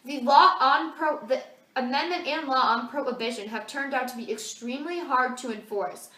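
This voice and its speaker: American teen girl reading a homemade news report, sharp voice, lots of room echo. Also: high-pitched, moderate pace, distant mic. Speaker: student playing news anchor and correspondent. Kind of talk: amateur scripted mock 1920s newscast. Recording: echoey room, faint noise.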